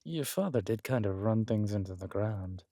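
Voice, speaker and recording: A man's voice: lowish voice, lively intonation, normal speed, dark tone, dry recording, clean studio sound. A man playing a villainous conqueror king in a romantic roleplay audio, smug and flirtatious, teasing and haughty as he draws out his words. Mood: wry, candid.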